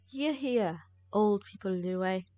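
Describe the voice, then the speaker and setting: A woman's voice: medium pitch, lively intonation, slow, lo-fi sound. A woman game streamer giving casual live commentary as she plays. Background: game music.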